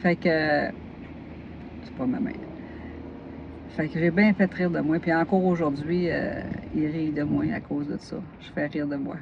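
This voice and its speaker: A woman's voice: sits low, steady tone, unhurried, vocal fry, lo-fi sound, noisy background. A woman from northern Gaspésie with a Québécois accent, a knitting vlogger who chats casually, laughs a lot and jumps between topics while tired. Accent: Québécois French. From northern Gaspésie, Quebec. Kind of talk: casual chat.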